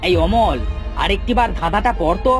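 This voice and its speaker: A man voicing an excitable, foolish young village brother obsessed with treasure, exclaiming and bickering in a cartoon. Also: high voice, moderate pace, music in the background, natural voice. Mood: puzzled, bickering.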